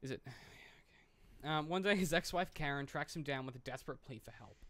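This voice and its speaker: A man's voice: on the high side, brisk, a bit bright, no room sound. A man co-hosting a film podcast, talking casually in a dry, rambling way. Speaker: podcast co-host. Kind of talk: casual film discussion, sometimes reading a plot summary.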